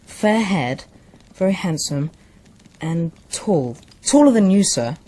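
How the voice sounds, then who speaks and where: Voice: medium pitch, expressive, takes it slowly, mellow. A young performer with a British accent acting in a radio drama as a proud, polite noble boy, earnest and well-spoken.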